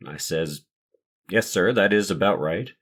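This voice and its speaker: A man playing a measured, guarded police marshal giving formal, deliberate testimony in a courtroom drama. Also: deep voice, a bit bright. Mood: matter-of-fact. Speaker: actor playing a police witness. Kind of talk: dramatised court transcript reading.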